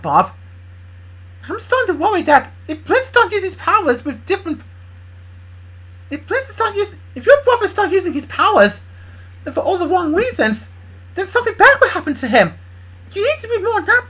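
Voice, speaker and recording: A woman voicing a bossy young woman who worries over others and snaps into sharp scolding. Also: very high-pitched, voice pushed up, full character voice, poor audio. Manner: pleading, then sharp and shouty. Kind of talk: improvised fan story voicing.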